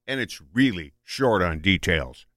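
A man's voice: deep voice, lively intonation, a little slow, fairly bright tone, no room sound, clean studio sound. A man speaking as a talk show panelist in a casual discussion.

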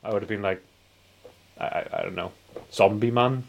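A man's voice: fairly low pitch, moderate pace, gravelly, a little mellow, dull audio. Emphatic British man in podcast chat. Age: 40s. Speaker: film review podcast co-host. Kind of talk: casual film discussion.

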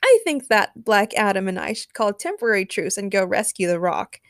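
A woman's voice: mid-range voice, big pitch swings, fairly quick, dry recording. A tough, combative superheroine with a sardonic edge, acted by a woman storyteller. She grumbles and throws challenges, in a dry recording.

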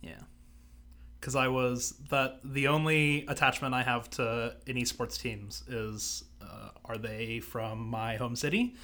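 A young man from Houston co-hosting a podcast, talking quietly with dry, low-key asides. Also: steady tone, slow pace. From Houston, Texas, USA. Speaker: podcast co-host. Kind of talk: casual podcast chat.

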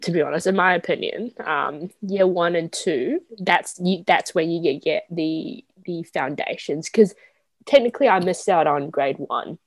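A young woman, a guest telling stories to a friend in an animated, mock-dramatic way. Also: on the low side, normal speed, dry recording. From Taiwan, raised in New Zealand from age seven. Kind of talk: casual chat with a friend.

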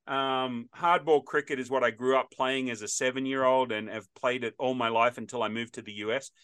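A man's voice: medium pitch, fairly even intonation, fairly quick, fairly bright tone, smooth. A man in his fifties hosting a podcast interview, chatting in a rambling, storytelling way with frequent restarts. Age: 50s. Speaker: podcast host. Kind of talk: casual interview chat.

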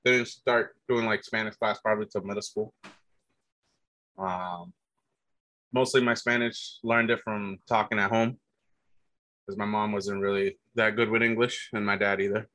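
A man's voice: fairly low pitch, fairly even intonation, unhurried, long pauses, bright, forceful tone, no echo. A man telling stories from his youth in a loose, rambling way, full of fillers and restarts. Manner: rambling, many fillers and restarts, says "dude". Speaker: guest telling his life story. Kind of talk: casual conversation.

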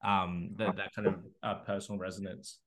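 Man, fairly low pitch, casual conversational podcast co-host. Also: level tone, a little fast, rough recording.

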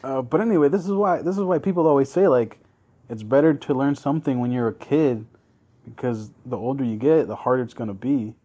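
A man's voice: mid-range voice, brisk, mellow, muffled recording. A young man whose first language is Spanish, hosting a casual chat with friends, laid-back, laughing often and saying "dude" and "like". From moved to the US at seven. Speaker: podcast host.

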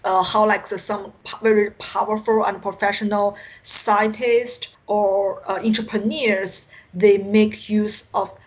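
A woman from China with a Chinese accent, a native Mandarin speaker, answering interview questions haltingly over an internet call and often searching for words. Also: mid-range voice, flat delivery, poor audio. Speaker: science fiction author, interview guest. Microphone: Skype call.

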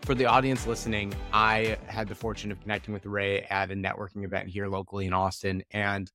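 A man's voice: fairly low pitch, little pitch movement, fairly quick, bright, forceful tone, soft background music. A man in his thirties hosting a podcast, talking in an upbeat, fluent way as he introduces and questions his guest. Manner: upbeat, fluent, fond of intensifiers. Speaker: podcast host.

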